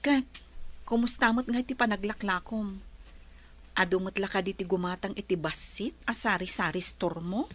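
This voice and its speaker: A woman's voice: medium pitch, moderate pace, a bit bright, close to an everyday voice. A woman with a Filipino accent playing a weary young working woman in a radio drama. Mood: weary, concerned. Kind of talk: Ilocano radio drama acting.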